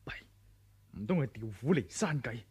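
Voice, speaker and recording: A man's voice: mid-range voice, lively intonation, moderate pace, a little mellow, a little hiss or hum, close to an everyday voice. A male actor playing an earnest, wary young martial arts hero who thinks aloud in a radio drama. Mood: alert, suspicious. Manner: earnest, dramatic self-questioning narration of thoughts.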